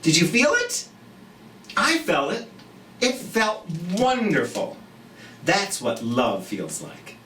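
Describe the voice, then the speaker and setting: A storyteller of unclear gender hosting a children's storytime, theatrical and expressive, speaking gently and encouragingly straight to young viewers with drawn-out emphasis. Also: mid-range voice. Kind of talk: animated children's read-aloud and gentle chat to young viewers. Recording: roomy echo, distant mic, light noise.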